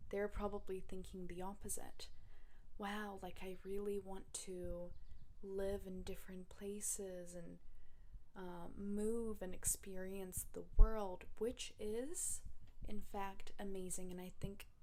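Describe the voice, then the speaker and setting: A woman's voice: medium pitch, flat delivery, takes it slowly, dark tone. A young woman from Russia, talking casually to camera in a reflective, rambling way with many fillers. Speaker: vlogger. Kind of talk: casual personal talk to camera.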